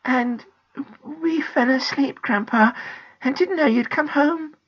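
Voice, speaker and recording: A woman's voice: sits high, slow pace, creaky, dull audio, voice pushed up, full character voice. A woman voicing a timid, scared little girl in a children's storybook, anxious and breathless. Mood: sheepish.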